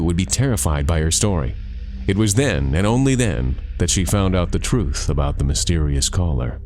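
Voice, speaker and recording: Male storyteller, deep voice, dramatic scripted horror narration over a light music bed. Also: a little mellow. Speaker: author and narrator. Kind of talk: scripted scary campfire storytelling.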